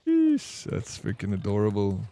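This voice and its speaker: A young man with a Johannesburg South African accent, live-streaming a game and chatting excitedly with his chat in slangy, casual talk. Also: lowish voice, unhurried, gravelly.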